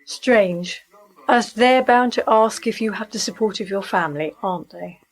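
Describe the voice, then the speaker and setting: An actress in a naturalistic radio play, playing a suspicious, resentful wife with a terse, challenging delivery. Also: female voice, mid-range voice, normal speed, natural voice, poor audio. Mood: coolly bitter. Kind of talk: naturalistic radio drama acting.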